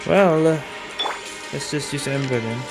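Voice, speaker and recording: A woman with a very low voice comments casually on a video game she is playing. She thinks aloud and reacts in short exclamations, with prominent game music behind her. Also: unhurried, rough recording. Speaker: gaming streamer.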